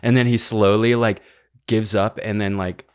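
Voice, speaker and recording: A man's voice: fairly low pitch, steady tone, moderate pace, clean tone. A man co-hosting a podcast, talking in an informal, slangy, conversational way. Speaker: podcast co-host. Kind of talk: casual chat and ad reads.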